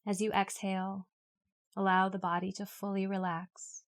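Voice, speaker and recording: Female meditation guide, mid pitch, flat and steady intonation, calm unhurried scripted instruction. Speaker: yoga nidra meditation guide.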